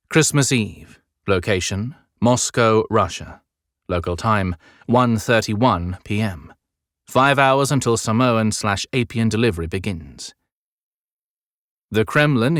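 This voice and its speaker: A man's voice: on the low side, a bit bright, no room sound, clean studio sound. A man reading scripted, dramatic audiobook narration.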